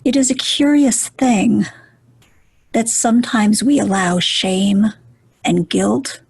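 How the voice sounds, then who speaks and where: Slow, breathy woman guiding meditation. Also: mid-range voice, dry recording. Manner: gentle, soothing, deliberate with pauses. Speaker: meditation guide.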